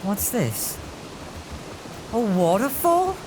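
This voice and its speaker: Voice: medium pitch, big pitch swings, very slow, background noise. An actor playing an earnest, self-reproachful street boy who leads a gang, in an audio drama. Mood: awed. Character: earnest, guilt-ridden street-urchin boy leader.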